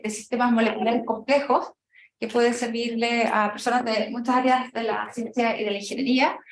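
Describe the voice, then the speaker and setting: A woman with a fairly high voice hosts a seminar and introduces the guest speaker. She speaks plainly with small hesitations, and the room gives her voice a noticeable echo. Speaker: seminar host.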